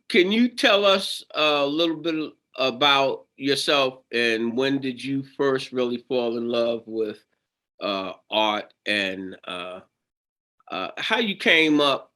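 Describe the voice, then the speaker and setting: A man's voice: mid-range voice, pushed and bright. A man hosting an arts segment, asking long interview questions with many fillers and repeated words. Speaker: interviewer, show host.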